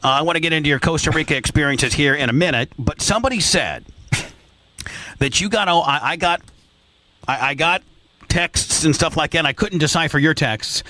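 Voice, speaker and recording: A man's voice: fairly high pitch, a little fast, pushed and bright, dry recording. Dry-miked male radio host, blunt and teasing. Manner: blunt, teasing interrogator who repeats and presses for detail. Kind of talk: casual, profane comedic talk radio banter. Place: radio studio.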